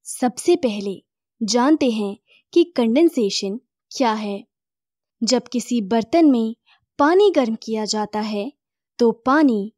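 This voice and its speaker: A woman giving a scripted voice-over for an animated technical lesson, in a measured explaining tone. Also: fairly high pitch, no echo, studio quality.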